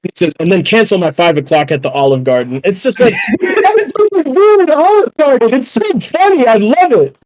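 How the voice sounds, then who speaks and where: A young man with a high voice talks film in a casual podcast setting. He is excitable and effusive, and he peppers his opinions with swearing. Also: normal speed, few pauses, low-quality recording. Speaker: podcast guest.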